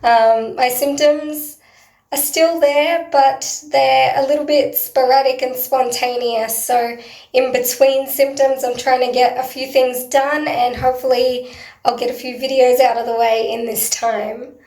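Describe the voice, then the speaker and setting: Woman with a croaky hoarse voice, a bit high, lots of ums, echoey room. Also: little pitch movement, unhurried, a little mellow. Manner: earnest, hesitant, frequent ums. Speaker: vlogger. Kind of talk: personal video diary. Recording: roomy echo.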